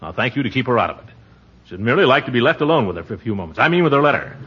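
A man's voice: mid-range voice, sing-song, rapid-fire, crowd noise, close to an everyday voice. An actor playing a pompous, easily exasperated middle-aged uncle in a 1940s radio comedy, blustering and sputtering as he grumbles. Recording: dull, noisy 1940s radio recording.

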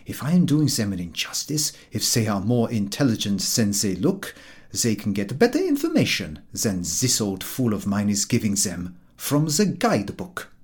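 A man's voice: fairly low pitch, big pitch swings, moderate pace, husky. A man voicing a sardonic, world-weary carriage horse with a dry, disdainful manner in a storybook reading.

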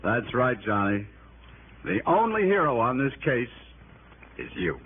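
An actor playing an excitable, admiring detective colleague in an effusive, emphatic 1960s radio drama style. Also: male voice, mid-range voice, a little slow. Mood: admiring, insistent. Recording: tinny, noisy old radio broadcast.

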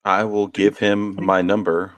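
A man's voice: fairly low pitch, level tone, measured pace, voice pushed down, lightly acted, low-quality recording. A man voicing a laid-back, oblivious young man at a party, talking in an easygoing drawl.

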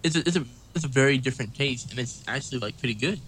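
A man's voice: medium pitch, very fast, fairly bright tone, a little hiss or hum, poor audio. A man co-hosting a casual podcast, laid-back and hesitant, often repeating words as he thinks. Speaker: podcast co-host.

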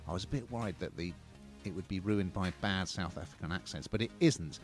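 A man's voice: low-pitched, fairly quick, soft background music. A man co-hosting a TV and film review show, chatting with dry, wry humour. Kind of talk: casual review chat.

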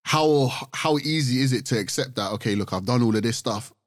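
A man's voice: medium pitch, a little fast, no echo, clean studio sound. A man, talking casually on a podcast in a thoughtful way, with hesitant restarts.

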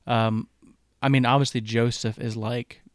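A man in a relaxed podcast conversation, talking loosely with many fillers and often asking "right?" to check for agreement. His voice sits at a middle pitch. The sound is dry and close. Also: measured pace, no echo. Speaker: podcast co-host. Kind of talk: casual chat.

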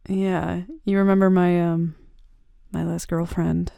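A woman playing a gentle, touchy, vulnerable bad-girl roommate in an intimate ASMR roleplay, speaking softly and hesitantly into a close mic. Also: on the low side, little pitch movement, vocal fry, clear, resonant tone, dry recording. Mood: gentle, soothing, then shy, confiding. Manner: soft, hesitant, halting confiding talk. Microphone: close, dry microphone.

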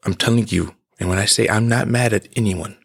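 Man, 20s, podcast host, low gravelly voice, preachy emphatic monologue. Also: a little fast. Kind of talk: impassioned personal monologue.

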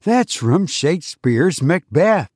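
A man's voice: fairly high pitch, very animated, slow pace, a little mellow, no room sound, clean studio sound, pitched above the performer's own voice, full character voice. A man doing an eager schoolboy. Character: eager bright schoolboy pupil.

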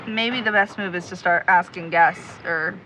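A woman's voice: fairly low pitch, moderate pace, natural voice. A young woman playing an eager, chatty amateur investigator in an audio drama, perky and a bit flustered, as if talking into a recorder. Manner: perky, flustered, talks to herself. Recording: clearly noisy background ambience.

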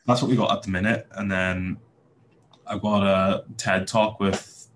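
A man's voice: low-pitched, flat delivery, measured pace, a little hiss or hum. A young man with a Belfast accent, a podcast guest talking casually with a lot of fillers and restarts. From Northern Ireland. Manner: hesitant, many fillers and restarts. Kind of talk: casual interview talk.

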